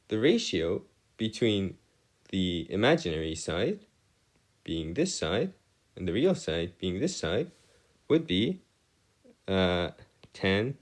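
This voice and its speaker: A man giving an explanatory maths lesson as a tutor, with formal, wordy phrasing and halting, hesitant delivery. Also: sits low. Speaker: math tutor. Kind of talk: explanatory maths lesson over written working.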